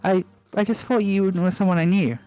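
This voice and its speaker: A woman's voice: mid-range voice, fairly even intonation, normal speed, dark tone, soft background music, voice pushed up, lightly acted. A woman voicing an earnest, puzzled teenage boy wizard in her own voice.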